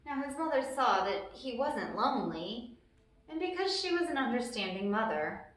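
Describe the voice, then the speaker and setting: A woman's voice: fairly high pitch, very animated, moderate pace, a bit bright. A woman hosting a storytime, reading a children's book aloud expressively and explaining things simply for young listeners. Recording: very echoey, reverberant room.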